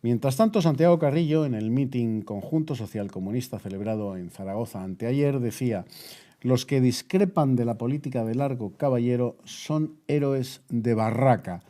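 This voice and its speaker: Mellow Spanish newsreader, a man. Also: medium pitch, moderate pace. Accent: Spain. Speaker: presenter. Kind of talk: news bulletin presenting.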